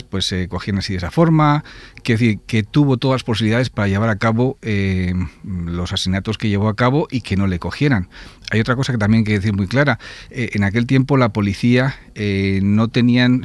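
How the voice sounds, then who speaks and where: A man with an accent from Spain giving spontaneous expert commentary, halting and repeating words as he explains. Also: fairly low pitch, no room sound. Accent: Spanish (Spain). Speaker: journalist and criminology writer, expert guest.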